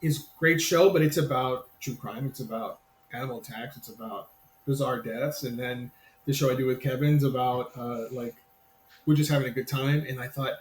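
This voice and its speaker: A man's voice: mid-range voice, steady tone, normal speed. A man in his fifties chatting loosely as a podcast guest, often restarting his sentences in a friendly way. His voice carries the sound of a roomy space, with a faint ambient hum behind it. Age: 50s. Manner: rambling, self-interrupting, friendly. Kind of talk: casual podcast chat.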